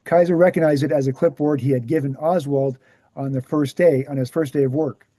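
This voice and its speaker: A man acts out an earnest author lecturing at a table read. His voice is very dark and relaxed, pitched a little high for a man. He explains things in a measured, emphatic way, in a dry close recording. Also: no room sound, lightly acted. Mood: measured, factual.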